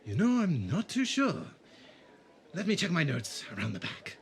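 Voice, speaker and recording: A man's voice: fairly high pitch, wildly swooping pitch, moderate pace, a little hiss or hum. A man playing a polite, bashful pottery seller in a fan audio drama, courteous and slightly hesitant. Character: polite, bashful market stallholder selling pottery.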